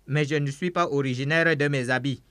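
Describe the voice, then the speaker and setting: A man's voice: medium pitch, a little fast, a bit bright, no echo. A man preaching a sermon through an interpreter, emphatic, saying each phrase several times over.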